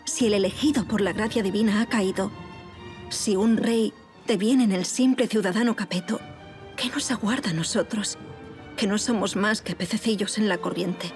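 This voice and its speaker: A woman's voice: medium pitch, moderate pace, a little mellow, faint music behind, close to an everyday voice. An actress playing an anguished aristocratic woman of revolutionary France who tells her own story in formal, literary language in an audio drama. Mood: somber, reflective. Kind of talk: dramatic audio fiction monologue and dialogue.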